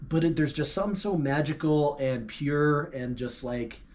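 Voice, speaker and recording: A man's voice: fairly high pitch, moderate pace, darkish tone. A man casually discussing an album as a co-host, in an enthusiastic, informal and effusive manner. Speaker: music review show co-host.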